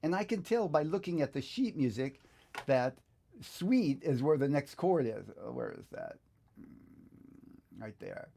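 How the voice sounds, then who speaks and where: Gravelly, mellow middle-aged man teaching casually. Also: medium pitch, big pitch swings, unhurried. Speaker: guitar teacher giving a software tutorial. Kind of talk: casual step-by-step tutorial with thinking aloud.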